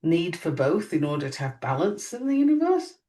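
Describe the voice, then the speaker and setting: A woman co-hosting a podcast in casual chat, musing and lively, her pitch jumping up on emphatic questions. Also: fairly quick. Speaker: podcast co-host. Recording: voice a bit distant from mic.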